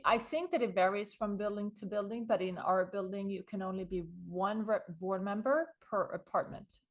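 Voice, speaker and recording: A middle-aged woman from Sweden with a Swedish accent, being interviewed and telling her story in a lively way with frequent small laughs. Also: medium pitch. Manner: animated storytelling, chuckles often. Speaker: guest author. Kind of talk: conversational interview.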